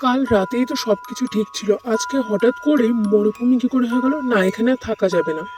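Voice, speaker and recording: A woman's voice: on the high side, fairly quick, over music, close to an everyday voice. A woman voicing a polite, anxious young man in a cartoon. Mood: bewildered. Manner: plaintive, polite. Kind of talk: cartoon voice acting.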